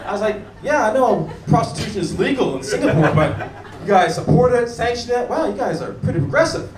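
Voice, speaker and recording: An American man doing a stand-up comedy set on a club stage, animated and chatting with the audience. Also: extremely high pitch, a little fast. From United States. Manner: animated, mimics locals and chats with the audience. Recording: echoey room taped from far off, crowd noise.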